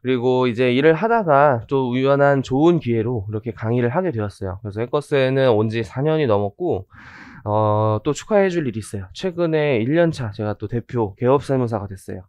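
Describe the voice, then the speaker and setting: A hoarse man in his thirties giving a chatty orientation lecture on tax law, with joking asides and many fillers. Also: mid-range voice, animated, fairly quick, darkish tone, no room sound. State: hoarse from rhinitis.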